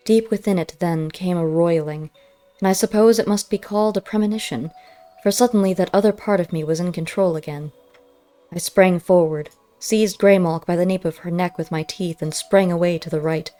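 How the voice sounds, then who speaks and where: A woman reads a first-person story in a fairly low voice with a very soft, dark tone. Her delivery is measured and literary, as an audiobook narrator. Quiet music runs behind her.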